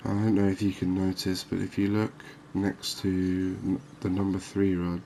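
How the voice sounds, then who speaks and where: A man giving calm, unhurried ASMR-style commentary on a fishing game, explaining things as he plays. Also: sits low, gravelly, slight background noise. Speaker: gaming video host.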